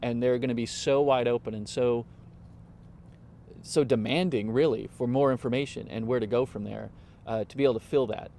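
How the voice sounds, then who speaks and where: A middle-aged man talking as a professor in a conversational review, earnest and halting, often repeating words as he forms his thought. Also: medium pitch, steady tone, dark tone, slight background noise. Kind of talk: conversational interview, reflective review.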